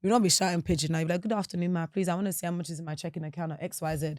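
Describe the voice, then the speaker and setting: Brisk woman with a British Nigerian accent. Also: on the low side, dry recording, clean studio sound. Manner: lively, prompting, acts out examples. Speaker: podcast co-host. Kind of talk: casual chat, asking questions. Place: podcast studio.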